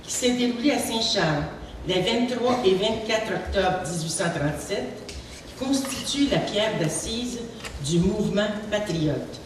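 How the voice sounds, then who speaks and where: A woman's voice: medium pitch, expressive, unhurried, far from the mic. Heavy room echo surrounds a woman giving a formal prepared speech. She sounds off-mic, with faint noise behind.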